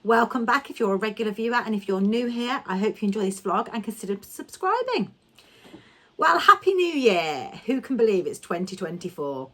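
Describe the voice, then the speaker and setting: A woman's voice: a little high, big pitch swings, a little fast, sharp tone. A woman talking casually about her sewing plans, a little nasal and stuffy from a cold, chatty and given to rambling. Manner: chatty, rambling, self-correcting. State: has a cold, slightly nasal and coughing. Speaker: sewing vlogger. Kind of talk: casual vlog chat.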